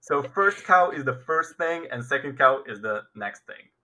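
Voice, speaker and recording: A man's voice: mid-range voice, animated, normal speed, pushed and bright, slight background noise. A young Israeli man speaking English with an Israeli accent, a Hebrew native, chatting playfully and acting out dramatic quotes. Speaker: guest teaching slang. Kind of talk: casual chat.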